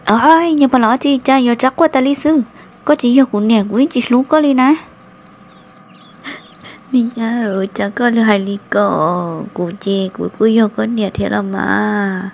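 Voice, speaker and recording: A woman voicing a female character in an animated family drama. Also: on the high side, a little slow, creaky. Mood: emphatic. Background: music.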